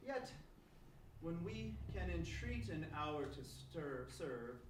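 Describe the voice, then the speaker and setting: A man's voice: on the high side, sing-song, slow. A man playing a tormented, volatile warrior lord in theatrical classical stage verse. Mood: guarded. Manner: theatrical, swinging from outbursts to murmurs. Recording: very echoey room, distant mic, faint noise.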